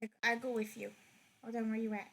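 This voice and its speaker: A woman's voice: fairly high pitch, flat delivery, fairly quick, creaky. A young woman chatting casually while playing a game, rambling with frequent restarts. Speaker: streamer. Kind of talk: casual gaming chat.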